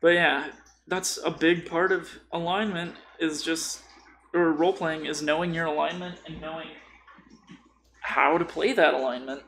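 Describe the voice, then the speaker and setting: A man's voice: on the high side, steady tone, normal speed, fairly bright tone, slight background noise. A man chatting casually and conversationally as a podcast co-host. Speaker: podcast co-host discussing tabletop role-playing.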